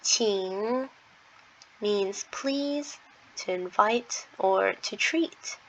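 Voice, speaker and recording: A woman's voice: mid-range voice, very slow, a little hiss or hum. A woman teaching a beginner lesson, speaking patiently and demonstrating sounds over and over. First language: English. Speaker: language teacher. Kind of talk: slow explanatory lesson with pronunciation drills. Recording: dull lo-fi home recording.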